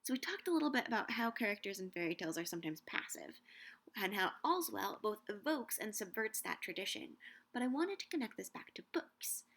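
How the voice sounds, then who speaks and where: A young woman giving a scripted, measured talk on Shakespeare and books, slowing down to savor quoted lines. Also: medium pitch, big pitch swings, gravelly, fairly bright tone. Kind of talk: scripted educational narration.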